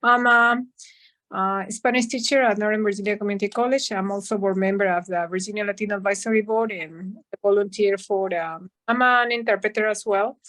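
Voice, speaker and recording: A woman's voice: medium pitch, fairly bright tone. A woman from Peru introduces herself at an online meeting. She talks in a chatty way, with some hesitation. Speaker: Spanish teacher and meeting attendee. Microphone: video call.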